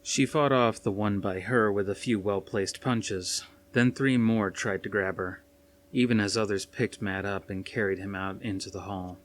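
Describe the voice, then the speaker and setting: Low-voiced man reading a dramatic story. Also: steady tone, normal speed. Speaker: audiobook reader. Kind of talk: dramatic scripted narration. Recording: faint noise behind the reading.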